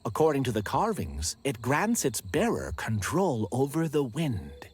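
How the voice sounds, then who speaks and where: An actor playing an eager, eccentric leader of an amateur pagan ritual club in a video game, with formal, old-fashioned wording and a theatrical manner. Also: male voice, medium pitch, huge pitch swings, unhurried, a little mellow, husky, exaggerated voice. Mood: earnest, awed.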